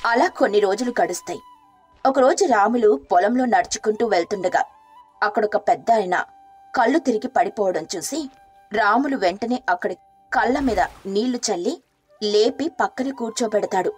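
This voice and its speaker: A woman narrating a moral story and playing the caring wife, speaking softly and earnestly. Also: mid-range voice, moderate pace, breathy edge, faint music behind.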